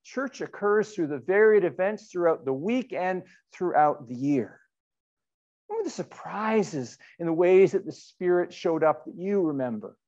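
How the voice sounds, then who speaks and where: A middle-aged man, a pastor, giving a sermon in an earnest, deliberate way with rhetorical questions and repetition. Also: high-pitched, animated, a little mellow, lo-fi sound. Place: indoors in a church sanctuary.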